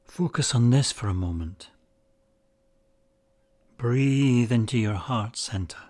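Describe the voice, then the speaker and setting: A man leading a guided meditation, speaking in measured, deliberate short phrases with pauses between them. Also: medium pitch, darkish tone. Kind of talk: guided meditation, slow spoken instruction.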